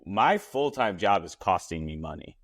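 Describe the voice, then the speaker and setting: A man's voice: low voice, sing-song, normal speed. A man hosting a podcast, a chef talking in a blunt, casual way that is full of swearing and repeated words. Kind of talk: casual chat. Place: Los Angeles.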